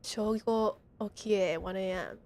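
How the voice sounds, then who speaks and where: A woman's voice: medium pitch, fairly even intonation, slow pace, darkish tone. A woman game streamer playing a horror game live, reading text aloud and reacting in a dramatic, slangy way. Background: game music.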